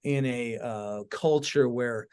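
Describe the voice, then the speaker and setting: A man's voice: medium pitch, slow, darkish tone, clean tone. A man hosting a podcast in a casual, conversational style, informal and enthusiastic. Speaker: podcast host.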